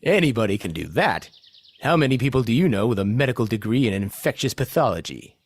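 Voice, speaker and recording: A man's voice: medium pitch, big pitch swings, quick pace, no room sound. A man playing a cocky, chatty zombie extraction team leader in a comedic audio play, briefing in a self-satisfied way. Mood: smug.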